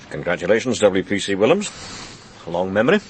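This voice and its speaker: A senior police officer in a radio crime drama. His lowish voice is animated but measured, briskly setting out facts, with slight background noise. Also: poor audio. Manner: crisp, reading out facts, approving. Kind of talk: naturalistic radio drama acting.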